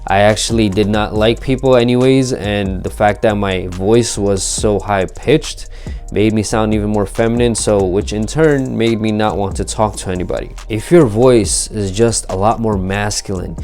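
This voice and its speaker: A man's voice: on the low side, mellow. A young man giving an earnest, lecturing self-help monologue about his own experience. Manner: earnest, lecturing, personal testimony. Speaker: video creator.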